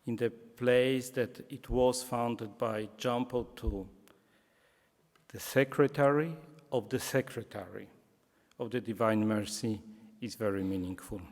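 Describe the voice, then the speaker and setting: A man with a Polish accent giving a public lecture, speaking deliberately and slipping in dry jokes. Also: mid-range voice, a little mellow. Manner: slow, deliberate, joking asides. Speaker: guest lecturer. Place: lecture hall. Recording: reverberant lecture hall.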